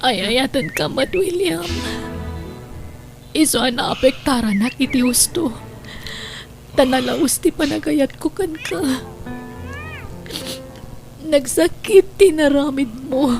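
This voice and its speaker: A woman playing a hurt but forgiving wife, speaking with measured reproach in an audio drama. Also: sits high, lively intonation, vocal fry, soft background music, heavily acted. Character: wounded, forgiving wife confronting her unfaithful husband. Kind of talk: emotional audio drama acting.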